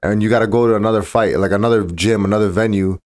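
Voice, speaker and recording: A man's voice: fairly low pitch, fairly even intonation, a little mellow. A man who grew up in the Czech Republic, a boxer and podcast guest, talking casually and often restarting his sentences. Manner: fast, restarting, stumbling over words. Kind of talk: casual interview talk.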